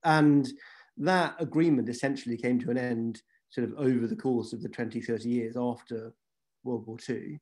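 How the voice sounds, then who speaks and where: A man's voice: mid-range voice, fairly even intonation, normal speed, darkish tone. Man with a Scottish accent, talking at length. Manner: discursive, many "you know" asides. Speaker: panel guest. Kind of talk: online panel discussion. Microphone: video call.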